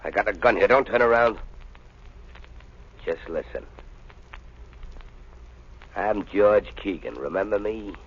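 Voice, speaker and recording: A man's voice: on the low side, slow pace, pauses often, fairly bright tone, slightly rough, full character voice. An actor playing a vengeful, hot-headed gangster with crude, slangy tough-guy talk in a 1930s radio crime drama. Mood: menacing, taunting. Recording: muffled vintage 1930s radio recording.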